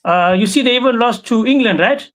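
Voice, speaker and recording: A man joining as a guest pundit, giving measured cricket analysis and often adding right and you know. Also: extremely high pitch, fairly bright tone.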